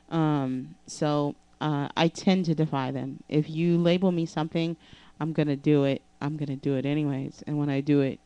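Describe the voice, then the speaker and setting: Deep-voiced woman vocal coach, slow. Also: warm tone, clear, resonant tone, no room sound. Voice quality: low speaking voice. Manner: halting, many fillers and restarts. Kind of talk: casual vocal lesson vlog. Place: living room with couch and keyboard. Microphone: handheld microphone.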